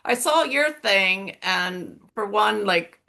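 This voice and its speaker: A middle-aged woman from Northern Ireland with an American-sounding accent, speaking candidly in an interview, halting, with many fillers and restarts. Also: mid-range voice, lively intonation, gravelly, sharp tone. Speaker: coaching client.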